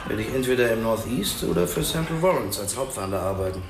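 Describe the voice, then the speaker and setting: An actor playing a cocky, provocative, self-assured police boxer in a crime radio drama. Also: male voice, mid-range voice, lively intonation, fast talker. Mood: needling. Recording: echoey room, clear background noise.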